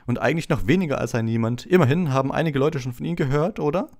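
A man's voice: medium pitch, rapid-fire, a little mellow, pitched above the performer's own voice, natural voice. A man voicing a vain, patronizing celebrity wizard professor in a gushing, condescending manner for an audiobook. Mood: smug, patronizing. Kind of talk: audiobook character voice.

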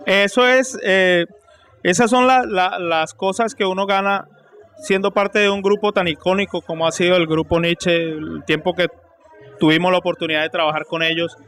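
A man's voice: high voice, steady tone, normal speed, fairly bright tone. A middle-aged man with a Colombian accent, a salsa singer answering casually in an interview on a handheld mic. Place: indoor room at a nightclub event. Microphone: handheld interview microphone. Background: faint music.

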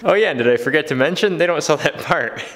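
A man's voice: a little high, animated, fast talker, over music. A young man in his twenties vlogging from his garage workshop, upbeat and jokey, with a motivational streak. He talks into a handheld camera held close. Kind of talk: energetic workshop vlog. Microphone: handheld camera, close and moving.

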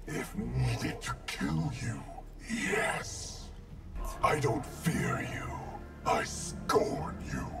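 An actor playing a grim, threatening masked warrior in a video game, speaking in a solemn, formal way. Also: male voice, rumbling low, huge pitch swings, very slow, loud music behind the voice, exaggerated voice. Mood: cold threat. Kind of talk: video game fighter acting.

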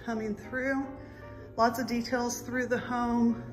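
Female realtor, middle-aged, very slow tour narration in an empty house, light music underneath. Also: fairly high pitch, little pitch movement, poor audio. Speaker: real estate agent. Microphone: handheld phone behind the camera.

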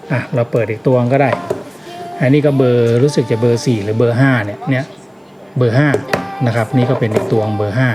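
Low-voiced man demonstrating, music behind. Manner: informal step-by-step instructing, repeats key steps. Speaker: product demonstrator giving a tutorial. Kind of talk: casual hands-on tutorial narration. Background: clearly audible background music.

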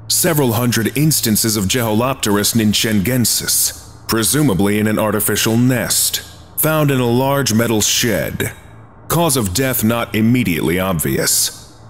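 A man narrating archive files in a flat, clinical documentary reading. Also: on the low side.

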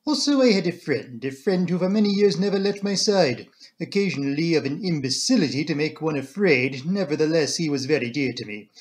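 Guy doing a fussy little french-accented gent, pitched up, gushing formal apologies. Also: lively intonation, a little fast, lightly acted. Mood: wistful. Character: fussy little foreign man, solemn, effusive.

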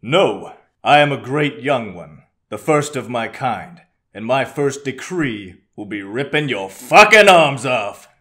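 A man's voice: mid-range voice, lively intonation, takes it slowly. A man playing a proud young monster god, solemn and threatening, in a fan-made audio drama. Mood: defiant. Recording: loud noise behind the voice.

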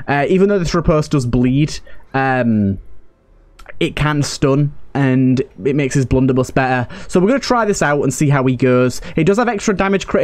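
A man's voice: fairly high pitch, a little mellow. A man giving casual let's-play commentary as a host, thinking aloud and repeating himself. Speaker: gaming video host.

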